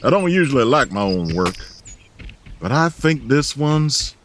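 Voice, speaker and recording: An actor playing an affectionate, modest artist in an audio drama who calls people darling. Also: male voice, medium pitch, very animated, measured pace, fairly bright tone, slight background noise. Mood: fond. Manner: fond, calls others darling.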